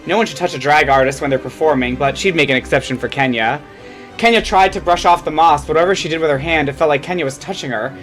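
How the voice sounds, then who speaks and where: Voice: mid-range voice, quick pace, a bit bright. Theatrical fan fiction reader over music. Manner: theatrical, stumbles and repeats lines. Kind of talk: comedic scripted story reading.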